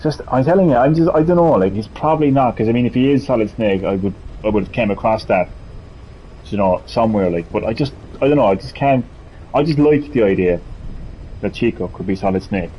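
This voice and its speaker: A man's voice: lowish voice, fairly quick, lo-fi sound, a little hiss or hum. A man giving casual gameplay commentary in a rambling, musing way as a gaming host.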